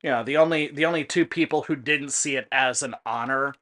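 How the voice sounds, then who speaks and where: A man giving casual, digressive commentary on a video game, explaining things in a halting way. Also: mid-range voice, pushed and bright. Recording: faint music in the background.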